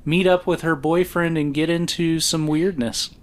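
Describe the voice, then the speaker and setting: A man hosting a casual podcast, relaxed and dry, teasing his co-host now and then. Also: on the high side, moderate pace.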